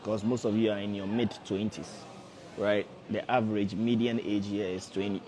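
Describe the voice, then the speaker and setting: A man in his early thirties talks to a livestream audience in a low voice, coaching them and repeating his points to drive them home. A busy crowd murmurs behind him. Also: level tone, normal speed. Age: 30s. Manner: repetitive, coaxing, addresses viewers directly. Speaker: forex trader hosting a livestream. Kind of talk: casual motivational livestream talk.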